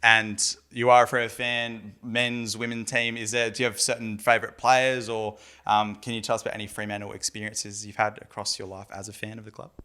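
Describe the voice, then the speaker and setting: A man's voice: on the low side, little pitch movement, brisk, few pauses, pushed and bright, clear, resonant tone. A man interviewing a newly drafted player for the club's channel, asking friendly, upbeat questions. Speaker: club interviewer.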